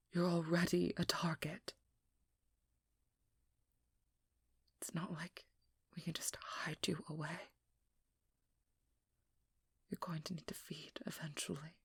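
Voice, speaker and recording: A woman playing a determined, guarded and protective vampire hunter in an intimate ASMR role-play, hushed and often whispering, with halting, earnest delivery. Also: sits low, slightly rough, no echo, full character voice. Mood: stern, resigned. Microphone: close microphone in a dry room.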